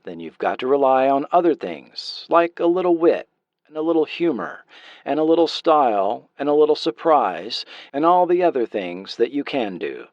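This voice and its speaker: A man's voice: medium pitch, sing-song, moderate pace, dry recording, lo-fi sound. A man talking reflectively, as in an interview.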